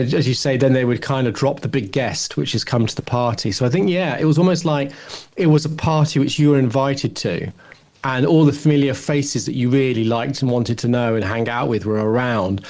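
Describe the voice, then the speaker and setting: A man with a medium-pitched voice talks informally as a podcast co-host. He thinks aloud tentatively, often breaking off a sentence to put it another way. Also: moderate pace, a little mellow. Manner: tentative, musing, often reformulating mid-sentence. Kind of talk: casual podcast discussion.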